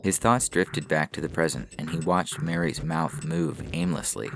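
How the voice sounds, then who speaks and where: A man with a gravelly, creaky voice narrating a scripted science fiction story. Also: a bass voice, fairly even intonation, normal speed, music in the background. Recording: clear background noise with clicks.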